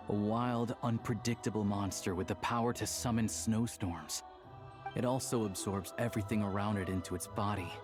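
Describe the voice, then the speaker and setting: A man's voice: lowish voice, close to an everyday voice. An actor playing an easygoing, worldly young man in a video game, talking in a conversational, confiding way. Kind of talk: video game dialogue acting.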